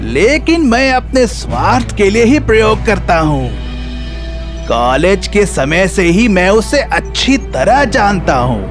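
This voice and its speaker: Cartoon villain mastermind acted by a man: selfish, emphatic, unhurried, raised pitch, strong music bed. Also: sing-song, full character voice. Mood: scheming, resentful. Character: selfish scheming male rival scientist, villainous boss. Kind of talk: children's animated story villain.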